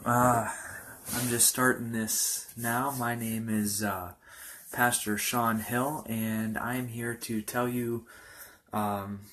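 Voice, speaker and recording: A man in his early twenties, a pastor giving an informal personal testimony in a halting, loosely rambling way. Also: mid-range voice, level tone, a bit bright. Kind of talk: informal testimony talk to camera.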